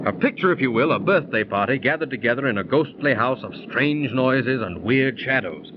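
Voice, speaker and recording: A man's voice: mid-range voice, expressive, normal speed, natural voice. An actor playing a breezy, wisecracking man in a 1930s radio drama, on a dull, noisy old recording. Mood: hushed storytelling. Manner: jaunty, wisecracking. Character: breezy, joking, sceptical man telling his tale.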